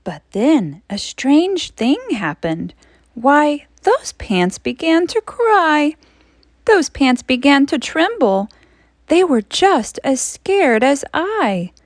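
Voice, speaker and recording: A woman teacher reading a storybook aloud to children, expressively, with dramatic emphasis and suspenseful drawn-out phrases. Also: high-pitched, big pitch swings, no echo, studio quality.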